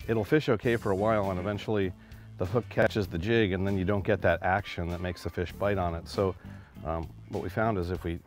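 A man's voice: low-pitched, fairly even intonation, brisk, soft background music. A man, a charter captain, explaining a fishing technique step by step in a practical way.

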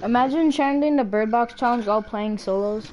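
A woman chatting casually over a game with a friend, giving laid-back, quiet replies. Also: fairly high pitch, a little fast, highs cut off, a little hiss or hum. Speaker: gaming friend. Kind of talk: casual gameplay chat.

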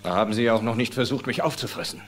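A male actor playing a calm, kindly middle-aged paleontologist in a film dub, speaking in a measured, quietly dry way. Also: mid-range voice, slight background noise, close to an everyday voice. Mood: dry, appreciative. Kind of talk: German film dubbing dialogue.

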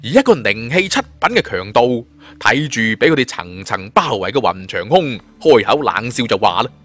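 A man telling a suspense fantasy story in an expressive, colloquial, dramatic style. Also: medium pitch, normal speed. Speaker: audiobook storyteller.

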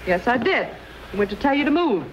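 An actress playing a haughty, hot-tempered young landowner in a 1950s western, curt and imperious. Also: female voice, on the high side, sing-song, brisk. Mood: cold, imperious. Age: young adult. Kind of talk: 1950s television western acting. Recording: dull old film soundtrack, faint noise.

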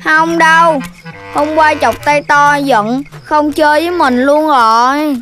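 Slow, bright, timid little animal kid. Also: sits high, steady tone, heavily acted. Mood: reluctant, worried. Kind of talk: children's cartoon dubbing. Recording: faint music behind the voice.

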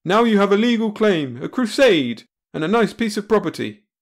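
A brash, earnest space adventurer, voiced by a man in a comic sci-fi tale. His delivery is eager and declamatory, lifted well above a normal talking pitch. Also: big pitch swings, moderate pace, full character voice. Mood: brisk confident.

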